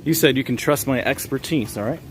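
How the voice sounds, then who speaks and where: A man's voice: mid-range voice, fairly quick, noisy background. A man hosting a casual livestream while shopping, chatting with viewers and reading their names aloud with easy fillers and small laughs. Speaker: livestream host. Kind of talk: casual live-stream chat while shopping. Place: grocery store, indoors.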